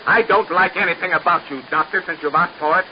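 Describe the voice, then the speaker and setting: An actor playing a jealous, lovesick young suitor in an old-time radio drama, earnest, excitable and given to stammering. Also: male voice, sits high, quick pace, close to an everyday voice. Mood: jealous, angry. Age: young adult. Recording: noisy, muffled old radio recording.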